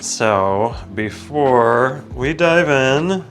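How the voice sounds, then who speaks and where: A man's voice: mid-range voice, expressive, very slow, a bit bright, faint music behind. A man with a slightly congested, groggy voice, hosting a casual, easygoing product review that often wanders off on tangents. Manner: easygoing, digressive, self-interrupting. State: groggy from waking up, with sinus congestion. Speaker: product reviewer and video host. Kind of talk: casual, rambling unboxing and review chat.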